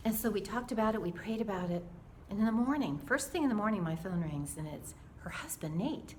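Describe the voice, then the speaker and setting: A mature woman with a medium-pitched voice shares a personal story of faith. She is earnest and dramatic, slipping into dialogue as she retells it. Faint background noise sits under the recording. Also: expressive, a little fast, darkish tone. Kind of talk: animated personal storytelling to camera.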